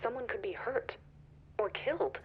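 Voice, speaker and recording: A woman playing an anxious, conscientious site manager in a video game, husky and urgent over a phone. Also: fairly high pitch, moderate pace, slight background noise. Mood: worried, pleading. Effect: telephone filter. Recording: distant, muffled phone recording.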